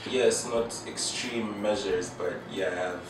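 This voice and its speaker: A young man from Uganda gives measured, hesitant interview answers in a very deep voice. The sound is roomy and distant, with noise underneath. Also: steady tone. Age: young adult. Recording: echoey room, heard from afar with noise.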